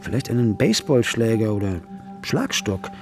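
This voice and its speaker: An actor playing a sharp, hot-tempered defence lawyer with an emphatic manner in a German radio drama. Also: male voice, fairly low pitch, sing-song, moderate pace, music in the background. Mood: matter-of-fact. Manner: emphatic, volatile.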